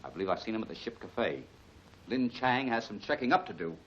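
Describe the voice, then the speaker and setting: A man's voice: lowish voice, sing-song, a little fast, slight background noise. An actor playing a confident, unruffled male crime-fighting hero in a 1940s film serial, speaking with assurance. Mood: calm, assured. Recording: muffled 1940s film soundtrack with music.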